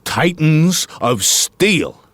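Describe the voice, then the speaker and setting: A cocky, fast-talking-type talent agent, with pitch that swoops around as he flatters and pushes. The sound is close and dry, in the manner of a naturalistic audio drama. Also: no room sound, full character voice. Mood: excited, teasing. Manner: slick, cocky salesman banter. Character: brash, pushy, flattering Hollywood talent agent man.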